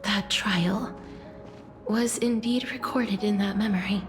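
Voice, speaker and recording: A woman voicing a quiet, earnest young heroine in a game, with a gentle, hesitant, breathy delivery. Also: medium pitch, steady tone, slow pace, faint music behind, close to an everyday voice. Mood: quietly resolute. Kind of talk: scripted game character voice acting.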